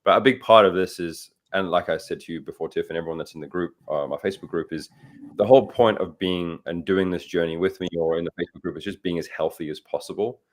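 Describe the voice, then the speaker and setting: A man from Africa, a fitness coach chatting on a radio show over a video call, brisk and encouraging. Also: deep voice. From South Africa. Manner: brisk, encouraging, says "hundred percent" often. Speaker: fitness and nutrition coach guest.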